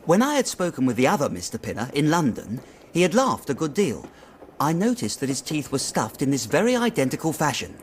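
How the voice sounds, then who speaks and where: A man's voice: fairly high pitch, wildly swooping pitch, a little fast, slight background noise. An actor playing an earnest, uneasy young male clerk in a period radio drama, eagerly and deferentially telling his story. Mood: puzzled, intent.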